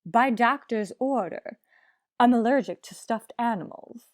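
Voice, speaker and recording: An actress playing a haughty, fussy society matron with affected, melodramatic upper-class diction in old-time radio comedy. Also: female voice, fairly high pitch, unhurried, dry recording, studio quality, close to an everyday voice. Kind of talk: 1940s radio comedy acting.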